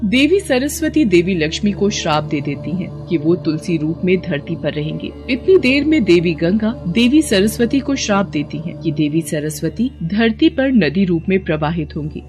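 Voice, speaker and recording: A woman narrating a devotional cartoon story in an even, explanatory manner. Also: lowish voice.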